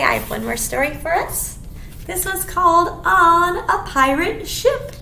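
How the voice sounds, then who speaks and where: Very high-pitched woman reading to children. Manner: expressive, clearly addressing young children, with simple questions. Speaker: children's librarian leading storytime. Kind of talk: animated children's picture-book read-aloud. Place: small indoor room. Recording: echoey small room, background hiss.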